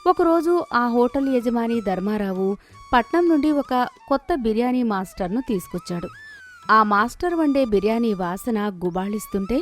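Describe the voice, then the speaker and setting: A woman's voice: fairly high pitch, expressive, moderate pace. Woman narrating a moral tale over music. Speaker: storyteller narrator. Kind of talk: scripted moral story narration. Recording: music behind the voice.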